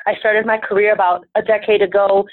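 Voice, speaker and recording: A woman's voice: mid-range voice, little pitch movement, a little fast, dry recording. A woman from Venezuela whose first language is Spanish, a life coach answering interview questions in an earnest, upbeat, self-assured way. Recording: tinny phone-like line, dry.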